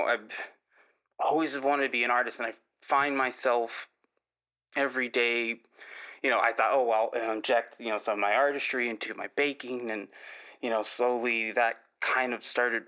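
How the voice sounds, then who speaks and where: A man playing a nervous, self-doubting baker calling a radio show in an improvised audio drama, halting, rambling and apologetic, on a slightly muffled line. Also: medium pitch, smooth, close to an everyday voice. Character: nervous, self-doubting male baker who longs to be an artist.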